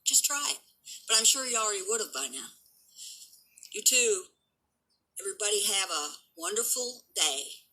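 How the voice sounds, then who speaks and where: Brief: woman, 70s, rough and hard-edged, low-set pitch, taunting, boastful vlog rant. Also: pushed and bright, rough recording. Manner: defiant, boastful, taunting critics. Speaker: vlogger and dog breeder. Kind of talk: unscripted rant to camera.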